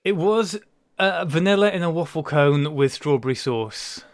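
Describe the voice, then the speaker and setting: A man's voice: a little high, animated, moderate pace, dry recording, clean studio sound. A man co-hosting a casual video-call podcast, teasing guests and laughing often. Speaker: podcast co-host. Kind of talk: casual chat.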